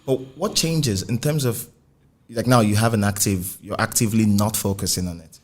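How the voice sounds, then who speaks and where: A man with a Nigerian accent and a fairly low voice hosts a conversational interview. He probes with questions, often rewording them as he goes. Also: moderate pace. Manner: probing, rephrases his questions. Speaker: interviewer, music journalist.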